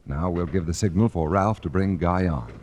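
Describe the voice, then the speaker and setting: A man's voice: deep voice, normal speed, darkish tone, slight background noise. A man announcing a scripted 1940s radio show in a formal, dramatic showman's style. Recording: old 1940s radio transcription with music.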